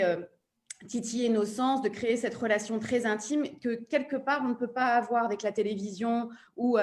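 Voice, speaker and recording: A woman speaking as a podcast producer on a panel, making her points emphatically in a halting flow. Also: mid-range voice, darkish tone. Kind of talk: explanatory panel discussion.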